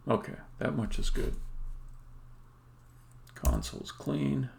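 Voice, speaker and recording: A man thinking aloud while live-coding as a game developer, halting and muttering to himself, with keyboard typing and clicking around him. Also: medium pitch, huge pitch swings, vocal fry. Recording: faint background noise, keyboard typing. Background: computer keyboard typing and clicking.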